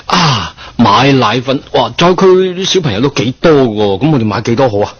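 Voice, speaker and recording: A man's voice: mid-range voice, animated, a little fast, fairly bright tone, husky. A man playing a hapless, broke, good-hearted male office employee in a Cantonese radio comedy, hesitant and stammering, with a Guangdong accent. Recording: dull lo-fi radio recording, faint noise.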